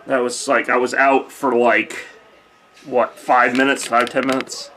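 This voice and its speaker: A man's voice: medium pitch, flat delivery, measured pace, a little hiss or hum. Chatty man hosting a holiday stream. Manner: chatty, joking, thinks out loud with many fillers. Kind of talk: casual live-stream chat reading viewer comments. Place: home room decorated for Christmas. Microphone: camcorder mic.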